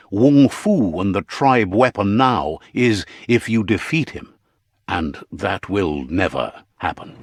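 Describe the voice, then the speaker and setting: A man playing a wry, philosophical narrator of an animal fable video game, telling it in a theatrical, measured, whimsical storybook style. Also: fairly low pitch, husky, exaggerated voice. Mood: wry, instructive.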